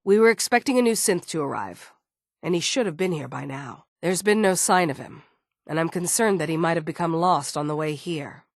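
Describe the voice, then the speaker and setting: A woman's voice: sits low, animated, normal speed, no echo, studio quality. A woman voicing a stern, guarded android security chief in a video game, firm and businesslike. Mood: concerned. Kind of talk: scripted game dialogue.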